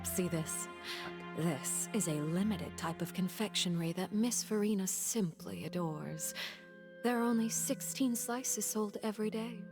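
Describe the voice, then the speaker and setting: An actress playing a poised, menacing woman in a video game, speaking politely and with measured control. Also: mid-range voice, music in the background, close to an everyday voice. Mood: courteous, composed. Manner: polite, measured, veiled threat. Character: poised, menacing woman, head of a villainous order.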